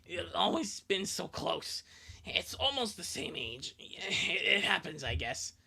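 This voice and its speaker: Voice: mid-range voice, big pitch swings, a little slow, vocal fry, bright, forceful tone, husky, full character voice. A performer voicing an earnest, consoling cartoon parent who speaks emphatically to the children in a fan-comic dub. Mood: earnest understanding.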